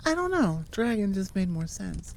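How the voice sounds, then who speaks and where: A woman's voice: mid-range voice, sing-song, normal speed, gravelly, a little mellow, a little hiss or hum. A woman voice actor and channel host chatting casually and off the cuff to her listeners, rambling and enthusiastic. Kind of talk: casual unscripted chat to listeners.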